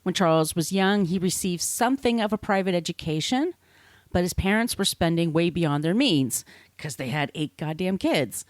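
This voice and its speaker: Low-voiced woman, dry close sound. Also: expressive, normal speed, no echo. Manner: irreverent, casually profane. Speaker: podcast host. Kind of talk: scripted narration with casual chatty asides.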